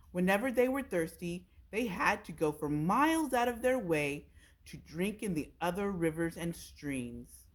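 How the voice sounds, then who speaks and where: Woman, storytime reader, slightly low pitch, very expressive intonation, children's read-aloud narration.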